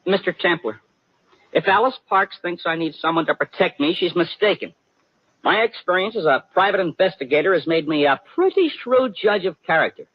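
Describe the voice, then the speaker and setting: High-voiced, melodramatic young wannabe detective. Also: male voice, expressive, a little fast, no room sound. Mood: earnest, pompous. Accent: American. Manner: melodramatic, stammering when flustered. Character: naive, excitable young would-be detective, self-dramatizing, easily flustered. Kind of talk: 1950s radio drama acting. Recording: muffled vintage 1950 radio broadcast.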